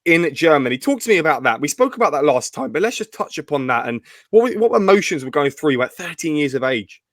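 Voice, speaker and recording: A man's voice: fairly high pitch, sing-song, fast talker, a bit bright, dry recording, studio quality. A man hosting an interview show, talkative, asking long framing questions.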